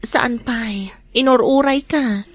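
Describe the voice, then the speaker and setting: An actress playing a weary, insecure wife recovering from an accident in an Ilocano radio drama, with plaintive, drawn-out delivery. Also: female voice, on the high side, no room sound. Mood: apologetic, needy.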